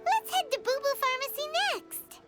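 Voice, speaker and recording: A woman voicing a small, excitable, blunt childlike fairy companion in a video game. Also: very high voice, normal speed, loud music behind the voice. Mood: curious, chirpy. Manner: refers to herself in third person. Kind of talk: video game character dialogue.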